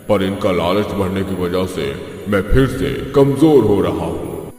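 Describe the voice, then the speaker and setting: Man voicing a deep, echoing old magic tree. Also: unhurried, a little mellow. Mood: troubled, weary. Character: weary old talking magic tree, grave and sorrowful. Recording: heavy echo and reverb, music behind.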